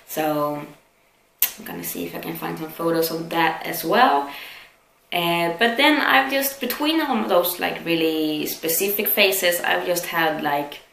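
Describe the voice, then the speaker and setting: A woman's voice: on the low side, gravelly, a bit bright. A young adult woman from Sweden, chatting casually and candidly to camera as a self-deprecating vlogger. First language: Swedish. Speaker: vlogger answering viewer questions. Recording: echoey room with faint background noise.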